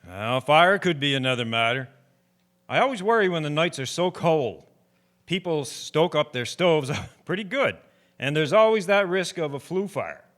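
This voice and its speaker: A man's voice: a little high, animated, normal speed, a bit bright. A man playing a genial, restless older retired deputy fire chief in a radio drama, chatty and folksy. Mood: genial, concerned.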